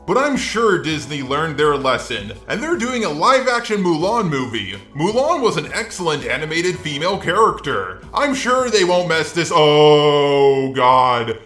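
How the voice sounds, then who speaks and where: A man's robotic, synthetic voice gives sarcastic video commentary. It sits fairly high and sounds bright and forceful, with a roomy echo around it. The delivery is scripted, opinionated and peppered with swearing. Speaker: video essay host.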